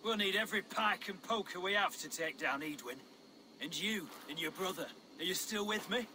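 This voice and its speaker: Fairly high, earthy Saxon rebel. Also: male voice, animated, normal speed, noisy background. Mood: gruffly brisk. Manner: plain-spoken, folksy. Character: blunt, earthy Saxon rebel leader, grimly determined.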